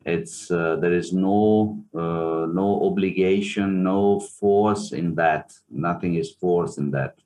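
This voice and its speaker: A man with an Italian accent, a pianist and music teacher, answering interview questions thoughtfully with many pauses and restarts. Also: low-pitched, dark tone, a little hiss or hum. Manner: hesitant, many fillers and restarts.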